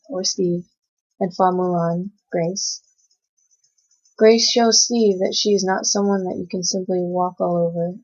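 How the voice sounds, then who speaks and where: Young woman giving a halting class presentation. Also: fairly low pitch, a little mellow, breathy edge. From United States. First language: Cantonese. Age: young adult. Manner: reads from notes with frequent hesitations. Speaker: student presenter. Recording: dull lo-fi recording.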